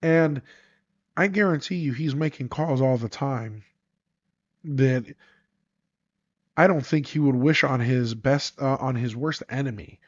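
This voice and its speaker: A man's voice: mid-range voice, unhurried, pauses often, dry recording. A congested man hosting a solo podcast, talking in an emphatic, sarcastic monologue full of rhetorical questions. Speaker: podcast host. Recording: dry, dull lo-fi sound.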